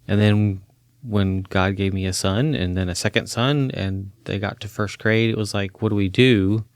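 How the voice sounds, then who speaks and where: A man in his forties who grew up in Chile, a counseling professor talking as a podcast guest, earnest and animated, laughing easily. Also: fairly low pitch, normal speed, clear, resonant tone, dry recording. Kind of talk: interview conversation. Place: podcast studio.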